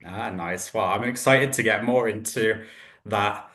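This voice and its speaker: A man's voice: fairly low pitch, a little slow, fairly bright tone. A man hosting a podcast, upbeat and enthusiastic as he welcomes and questions his guest. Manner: upbeat, enthusiastic, uses "delve" and "yeah". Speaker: podcast host. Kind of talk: casual interview hosting. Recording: echoey room.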